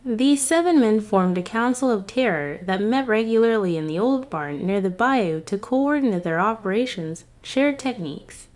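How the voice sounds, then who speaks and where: A woman giving scripted history narration in a grave, measured storytelling manner. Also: mid-range voice, a little mellow.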